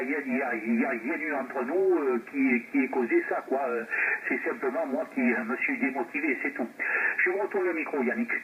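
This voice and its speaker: A man from France chatting over two-way radio in a hesitant, rambling way, repeating words as an operator. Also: medium pitch. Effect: two-way radio transmission. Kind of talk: casual radio contact chat. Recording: narrow, noisy two-way radio reception.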